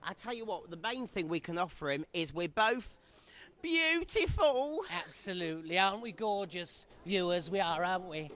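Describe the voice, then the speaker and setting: A high-pitched, campy pantomime dame, played by a man. This ugly sister preens and boasts to the viewers, bickering gleefully as part of a comic double act. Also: expressive, moderate pace, fairly bright tone. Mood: gleeful vanity.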